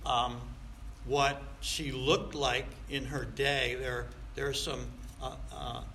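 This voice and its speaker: A man's voice: mid-range voice, little pitch movement, takes it slowly, bright, forceful tone. A man in his sixties preaching a sermon in a folksy, conversational way, asking rhetorical questions and repeating phrases for emphasis. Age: 60s. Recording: echoey room, faint background noise.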